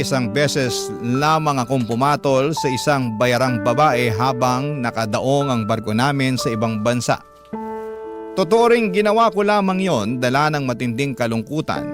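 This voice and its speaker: A man's voice: medium pitch, natural voice. A man playing a remorseful father, narrating his own story in a sorrowful, confessional radio drama style. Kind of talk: scripted first-person radio drama narration. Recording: loud music under voice.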